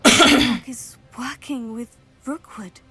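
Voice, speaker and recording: A woman's voice: a little high, takes it slowly, over loud music. A woman playing an earnest, polite young student hero in a video game.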